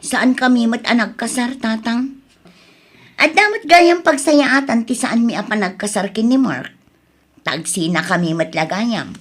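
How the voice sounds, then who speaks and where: A troubled young wife and mother who pleads and frets, acted by a woman. Her voice sits high and carries a plaintive, emotional edge. Heard in a homemade-style audio drama. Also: expressive, measured pace. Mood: worried. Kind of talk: Ilocano audio drama acting.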